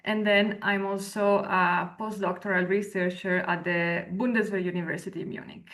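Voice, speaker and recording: A woman in her thirties with an Italian accent, a researcher and lecturer giving a webinar presentation over a video call with clear explanations and brief hesitations. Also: mid-range voice, steady tone, moderate pace, fairly bright tone. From Italy. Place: indoor room at home or office. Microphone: video call, laptop mic.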